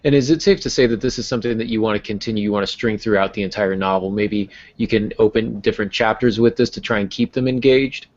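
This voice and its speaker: A man co-hosting a podcast in casual discussion, haltingly rephrasing as he thinks, on lo-fi audio. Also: fairly low pitch. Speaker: podcast co-host.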